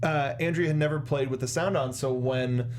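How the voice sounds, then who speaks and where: A young man co-hosting a casual film discussion, talking in a halting, rambling way and rephrasing as he goes, close on a studio mic. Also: mid-range voice, steady tone, slight background noise. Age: young adult. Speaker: co-host of a film discussion show. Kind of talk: casual review chat. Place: small indoor studio. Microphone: close studio desk microphone with foam cover, headphones.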